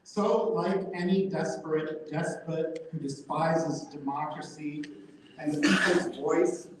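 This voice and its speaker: A man giving a prepared, accusatory public comment to a city council, measured and pointed. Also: on the high side, fairly even intonation. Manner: accusatory, measured, reading from notes. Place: council chamber. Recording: echoey distant hall recording, noisy.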